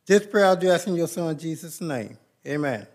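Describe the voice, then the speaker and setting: Man, slightly high voice, leads communion and prayer at a pulpit mic in a big sanctuary, measured and reverent. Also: animated, a little mellow. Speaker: church leader at the Lord's Supper. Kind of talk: plain church service leading and prayer. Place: large church sanctuary.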